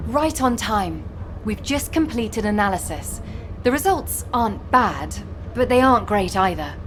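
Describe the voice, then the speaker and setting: A woman's voice: medium pitch, sing-song, a little slow, a bit bright, a little hiss or hum. A woman playing an earnest, playfully teasing young operations officer in a sci-fi video game, speaking articulately and expressively. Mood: focused, professional.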